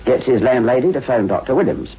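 An actor playing a brisk, wryly amused London police detective in a 1960s radio drama. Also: male voice, mid-range voice, expressive, warm tone, husky. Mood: brisk explaining. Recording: muffled, hissy 1960s radio recording.

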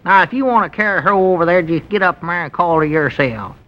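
A high, teasing old country coot. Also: male voice, expressive. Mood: exasperated. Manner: slow, teasing drawl. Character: dry, plain-spoken old rural male storekeeper. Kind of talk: 1930s-50s radio comedy acting. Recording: dull old radio recording with faint hiss.

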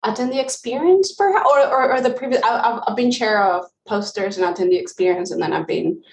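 A woman's voice: medium pitch, fairly quick, creaky, a little mellow, slight background noise. Venezuelan woman chatting as a guest, easygoing, echoey room sound. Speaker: guest, software engineer. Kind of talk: casual interview chat.

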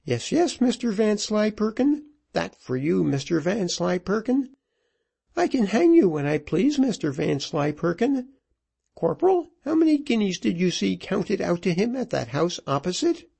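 A brash storybook widow, acted by a man, scolds in a high, swooping voice. She is mocking and indignant, hammering out each rebuke with scornful emphasis. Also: sing-song, normal speed, vocal fry, few pauses, darkish tone, breathy edge, full character voice. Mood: raging, mocking. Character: brash sharp-tongued middle-aged widow, scornful and scolding. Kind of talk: dramatised audiobook character voice.

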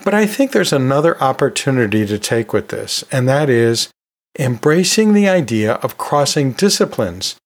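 A man hosting an educational podcast, giving advice as a teacher in measured, deliberate phrases with emphatic pauses. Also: medium pitch. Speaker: podcast host and anatomy and physiology teacher.

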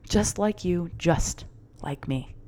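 A woman's voice: on the low side, slow, dark tone. A middle-aged woman hosting her own podcast as a confidence coach, chatty and enthusiastic, encouraging her listeners with a few fillers. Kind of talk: upbeat solo podcast talk.

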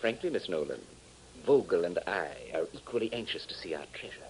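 A man's voice: low voice, lively intonation, a little fast, slight background noise, low-quality recording. An actor playing a formal, commanding foreign villain in a 1940s radio adventure, menacing behind his courtesy. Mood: eager, commanding. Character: smooth, menacing foreign villain, a male pilot and rancher. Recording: old 1940s radio transcription.

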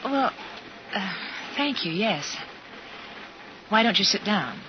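An actress in a 1950s radio drama playing a timid, polite young lady, flustered and halting, nervously repeating her words. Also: mid-range voice, big pitch swings. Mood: shy, grateful. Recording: muffled, hissy 1950s radio recording.

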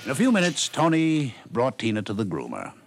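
A man's voice: medium pitch, huge pitch swings, fairly quick, soft background music. An American man narrating a scripted 1950s radio story in a wry, polished way.

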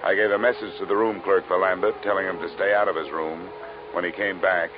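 Deep-voiced hardboiled American detective, played by a man. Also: fairly even intonation, brisk, over music, close to an everyday voice. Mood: hardboiled narration. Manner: hardboiled, wry, blunt. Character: tough, sardonic male insurance investigator. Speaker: lead detective and narrator. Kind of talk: 1950s radio detective acting. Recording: muffled, hissy old radio recording.

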